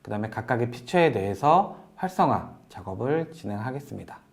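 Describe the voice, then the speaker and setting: A man presenting a software tutorial in a polite, explanatory way, walking through each step as an instructor. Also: medium pitch, lively intonation, brisk, gravelly. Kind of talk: software tutorial narration over screen demo.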